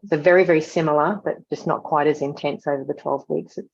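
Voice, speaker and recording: A woman's voice: low-pitched, steady tone, fast, gravelly, breathy edge. A woman facilitating an online class discussion in an encouraging, slightly rambling way, heard over a video call. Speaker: course facilitator. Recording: dull lo-fi video call audio.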